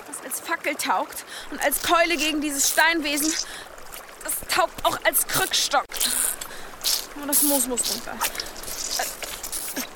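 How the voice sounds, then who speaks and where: A woman's voice: extremely high pitch, background noise. An actress playing a stubborn young pagan woman, emotional and exclamatory, in a radio drama. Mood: startled, bewildered. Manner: emotional, exclamatory, talking to herself and gods.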